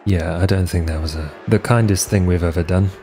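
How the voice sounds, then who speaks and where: A man playing a weary, defiant vampire wanderer in an improvised tabletop roleplay, blunt and exasperated, with halting delivery. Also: sits low, fairly even intonation, mellow, a little hiss or hum, voice pushed down.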